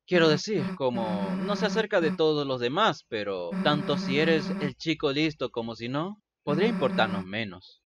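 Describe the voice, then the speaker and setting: A man voicing a brash, teasing teenage monster girl with gruff kindness in a video game fandub, blunt and slangy. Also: a little high, very animated, moderate pace, hardly any pauses, over a noisy crowd. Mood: gruffly consoling.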